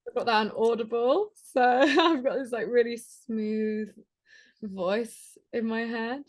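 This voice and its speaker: A woman guest on a podcast, talking in a chatty, casual way, with lots of "like" and quick laughs. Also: fairly high pitch. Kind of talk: casual conversational interview.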